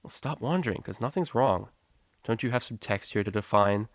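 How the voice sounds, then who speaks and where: A man's voice: on the low side, normal speed, no echo, clean studio sound, natural voice. A man voicing a moody young female unicorn student and DJ, curt and slangy, in a story reading. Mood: irritated.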